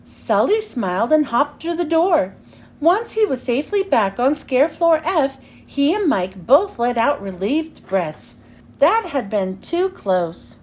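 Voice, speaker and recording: A woman with a notably high voice reads a children's story aloud. Her delivery is lively and swings widely in pitch, with each phrase clearly enunciated for young listeners. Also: slow. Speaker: children's read-aloud host.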